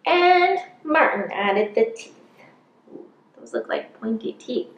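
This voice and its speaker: A woman's voice: on the high side, lively intonation, muffled recording, a little hiss or hum. A woman in her thirties reads a picture book aloud to young children, explaining simply and stressing key words. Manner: slow, deliberate, emphasizing key words. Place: small plain indoor room. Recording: roomy indoor echo.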